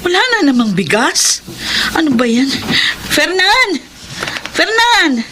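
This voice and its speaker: An actress playing a weary, exasperated young wife in a radio drama, emphatic, with a Filipino accent. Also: female voice, extremely high pitch, big pitch swings, takes it slowly, pushed and bright, slight background noise, heavily acted, low-quality recording. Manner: complaining, emphatic. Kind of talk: Tagalog radio drama acting and narration. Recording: music behind the voice.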